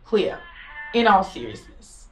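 A slow, chiding confidante. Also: female voice, mid-range voice, big pitch swings, fairly bright tone, soft background music. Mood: chiding, concerned. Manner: chiding, candid. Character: frank, disapproving female confidante of a rich wife. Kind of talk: modern audio drama acting.

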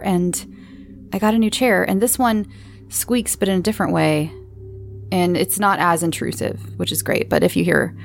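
A woman's voice: mid-range voice, creaky, a little hiss or hum. A woman hosting a podcast, chatting casually and in a rambling, informal way as she answers listeners. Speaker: podcast host. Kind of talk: casual chat answering listener voicemails and questions.

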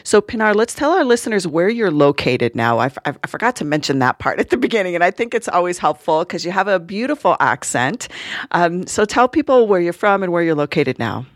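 A woman's voice: lowish voice, very animated, fairly quick, no room sound, clean studio sound. A woman hosting a podcast interview in a casual, affirming, informal way. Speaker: podcast host.

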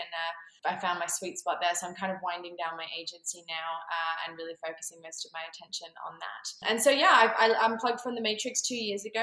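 An Australian-accented woman in her thirties talks in a chatty, upbeat way as a podcast guest. Her voice is bright and a little low, and it rings in an echoey room. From Zambia. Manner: chatty, upbeat, many fillers and restarts. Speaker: guest, marketing strategist. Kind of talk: casual interview talk.

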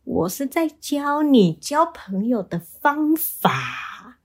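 A woman's voice: sits high, sing-song, takes it slowly, voice pushed up, heavily acted. A woman voicing a small clever squirrel in a bedtime story, matter-of-fact and instructive. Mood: cheeky. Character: small clever squirrel, scolding but helpful teacher.

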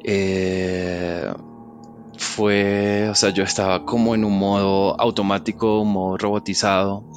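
A man with a somewhat low voice tells a personal story. He speaks softly, in a reflective and halting way. Faint music plays under his voice. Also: level tone. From Venezuela.

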